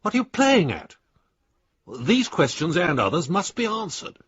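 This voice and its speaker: An actor playing an exasperated older English adviser, husky, clipped and scornful, reading his letters aloud in a radio drama. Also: high voice, very animated, unhurried, a bit bright, dry recording, heavily acted. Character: blunt, exasperated old friend and professional adviser, male. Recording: dry, slightly dull studio sound.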